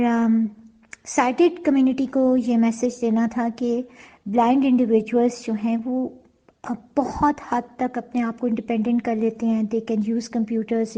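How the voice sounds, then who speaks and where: A woman's voice: fairly high pitch, dark tone, poor audio. A woman hosting a casual interview chat, mixing English phrases into her speech. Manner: mixes Urdu with English phrases. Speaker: interview host and audio drama producer.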